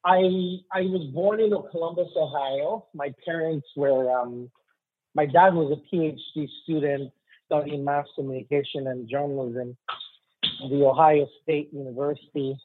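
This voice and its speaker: Nigerian-born guy in his 40s telling his story, lots of ums, husky voice, sounds like a phone line. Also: a little high, dry recording. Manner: halting, with frequent ums and restarts. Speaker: trial attorney guest. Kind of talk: conversational storytelling.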